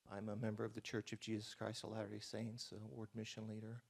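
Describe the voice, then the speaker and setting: A man with a lowish, flat, gravelly voice introduces himself on a panel. He speaks plainly and without hurry. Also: fast. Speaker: interfaith council co-chair. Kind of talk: self-introduction.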